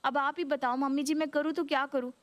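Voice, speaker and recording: A woman's voice: high voice, steady tone, a little fast, no echo, close to an everyday voice. A woman voicing a young Indian daughter-in-law, deferential yet fed up, explaining herself in a cartoon story. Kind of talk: Hindi cartoon story voice acting.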